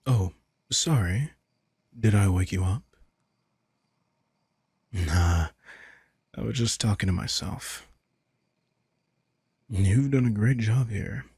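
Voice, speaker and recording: A gravelly, close and dry voice for a vampire king acted by a man in an intimate audio drama. He is a casual, manipulative charmer who talks in a low register. His manner is teasing and softly condescending, with a smug ease. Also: slow pace, long pauses, no echo, full character voice.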